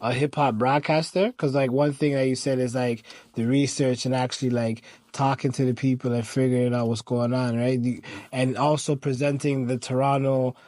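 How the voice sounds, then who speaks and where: A man hosting a podcast, asking questions in a relaxed way with lots of short affirmations. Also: medium pitch, moderate pace, few pauses, no room sound. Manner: laid-back, frequent "mm" and "shout out" interjections. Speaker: podcast host. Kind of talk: casual interview.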